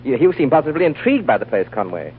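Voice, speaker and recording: A man's voice: mid-range voice, very animated, racing, lightly acted. A man playing an impatient, suspicious young man in a 1940s radio drama, urgent and reproachful. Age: young adult. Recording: old narrow-band radio recording, faint noise.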